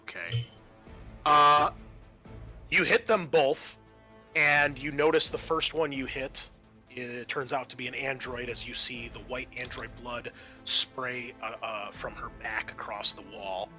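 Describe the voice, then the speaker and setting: A man with a bright, forward voice runs a tabletop roleplaying game. He narrates and explains rules in a casual, sweary way, with music playing underneath. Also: mid-range voice, fairly even intonation, measured pace. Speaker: game master.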